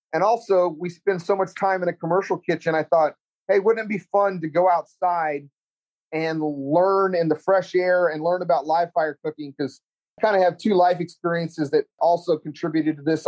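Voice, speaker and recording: Middle-aged texas guy, chef teacher, rambly and earnest, kinda high voice, dull muffled audio. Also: darkish tone. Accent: Texan. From Central Texas. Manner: earnest, rambling, many restarts and fillers. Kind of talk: casual interview chat.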